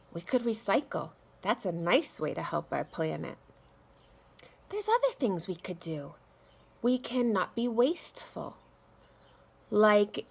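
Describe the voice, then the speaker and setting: Slow, creaky woman reading to children. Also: lowish voice. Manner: slow, expressive, addressing young children. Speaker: teacher reading aloud to children. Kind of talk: children's read-aloud with chatty introduction. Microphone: phone at arm's length.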